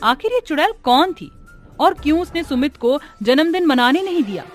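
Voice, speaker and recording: Female horror storyteller, higher-pitched voice, dramatic scripted narration, faint music underneath. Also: lively intonation, normal speed.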